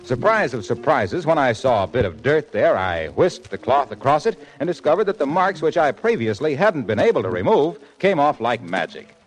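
A man's voice: mid-range voice, sing-song, moderate pace, low-quality recording. An American man announcing scripted 1940s radio commercials in a polished, formal, salesmanlike way.

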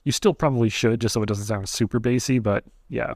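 A man's voice: mid-range voice, brisk, darkish tone, dry recording, studio quality. A man hosting a casual podcast, talking in a loose, chatty way with a nasal voice. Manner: chatty, loose, many "like" fillers. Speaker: podcast host. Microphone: close studio mic angled up.

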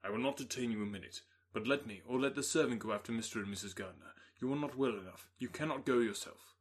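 A man voicing a grave, concerned young gentleman in an audiobook, measured and earnest. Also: mid-range voice, slightly rough, close to an everyday voice.

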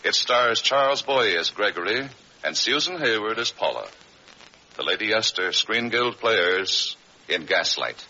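A man's voice: fairly low pitch, lively intonation, a little slow, bright, forceful tone. A man announcing a drama in formal, declamatory 1940s radio style. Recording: muffled vintage radio recording with music.

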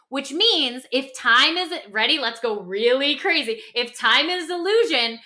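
Bright, high-pitched woman chatting emphatically. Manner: emphatic, checks in often with "okay?" and "right?"; acts out imagined reactions in quoted voices. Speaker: podcast co-host. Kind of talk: animated conversational podcast talk.

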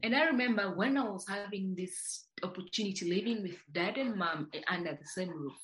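A woman's voice: mid-range voice, brisk, fairly bright tone, low-quality recording. Tanzanian-raised woman telling her story. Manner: reflective, many restarts and fillers. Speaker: podcast guest, company founder. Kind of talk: casual interview.

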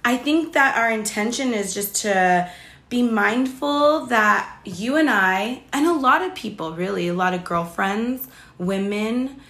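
A woman co-hosting a casual podcast, with a slightly raspy, deeper voice. She chats loosely, leans on "like" a lot and laughs easily. The sound is roomy and echoey. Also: measured pace, a bit bright. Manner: chatty, many "like" fillers, laughs easily. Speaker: podcast co-host. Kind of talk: casual chat.

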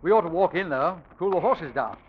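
An actor playing a smooth, scheming young houseguest from England in an old-time radio drama, suave with a threat underneath. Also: male voice, a little high, expressive, a little fast. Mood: smooth, wooing. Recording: dull, noisy old radio recording.

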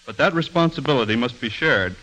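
A man's voice: medium pitch, expressive, a little fast, bright, forceful tone, poor audio. An American man earnestly reading a formal, scripted 1940s radio appeal as a guest speaker, on a vintage broadcast. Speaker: guest speaker for a library association.

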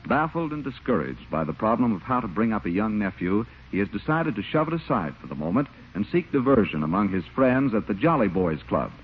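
A man's voice: lowish voice, very animated, fairly quick, hardly any pauses, slight background noise. A man giving a scripted 1940s-style radio announcer read. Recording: thin, tinny old radio recording.

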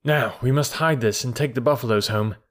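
A man's voice: medium pitch, fairly quick, fairly bright tone, close to an everyday voice. A man voicing a proud, scornful herdsboy raised by wolves in a storybook reading, assured and archaic in wording. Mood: calm, defiant.

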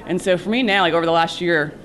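A cybersecurity professional giving earnest advice in a conference interview, with many fillers and restarts. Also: male voice, a little high, fast talker, fairly bright tone, low-quality recording.

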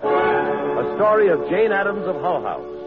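A man's voice: sits high, sing-song, measured pace, narrow, tinny audio, over loud music. A man announcing in a scripted, emphatic old-time radio style on a vintage broadcast recording. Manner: declamatory, emphatic delivery. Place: auditorium stage.

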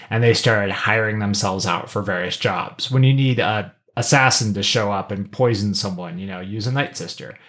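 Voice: mid-range voice, a bit bright. A podcast co-host in a casual pop-culture discussion, explaining things and slipping into joking asides.

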